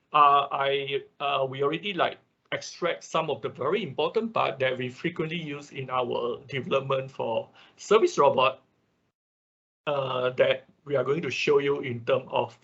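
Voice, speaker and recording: A man's voice: medium pitch, bright, forceful tone, breathy edge. A man giving an informal technical lecture as the instructor of an online class, often repeating phrases and punctuating his explanations with "okay". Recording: dull, lo-fi online class audio.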